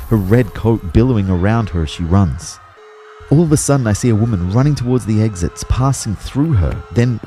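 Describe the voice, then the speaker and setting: A man's voice: fairly low pitch, dark tone, close to an everyday voice. A man acting a dazed young man who narrates a disaster in the first person, as dramatic scripted storytelling. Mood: panicked.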